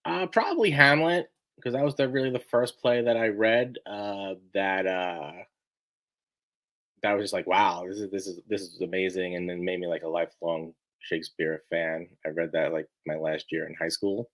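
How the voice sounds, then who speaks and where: A man being interviewed, chatting casually in a halting, rambling way and often restarting his sentences. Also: low-pitched, fairly bright tone. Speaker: book editor being interviewed.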